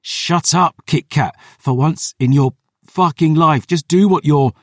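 A man playing a hard-bitten, stubborn, foul-mouthed fighter in an audiobook, gruff and blunt. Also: on the low side, measured pace, no echo, clean studio sound. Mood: angry, desperate. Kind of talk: audiobook character voice.

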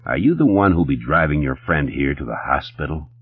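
A man voicing a calm, unruffled police captain who speaks quietly with restrained menace. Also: very deep voice, brisk, phone-quality sound. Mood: calm, menacing.

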